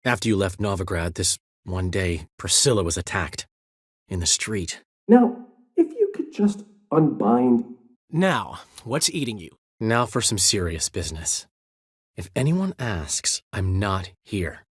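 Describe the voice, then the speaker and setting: A man's voice: on the low side, sing-song, a little slow, slightly rough, dry recording, clean studio sound, exaggerated voice. An actor voicing a vain, witty male bard in a video game, speaking in flamboyant, florid phrases. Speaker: game character. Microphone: dry studio recording.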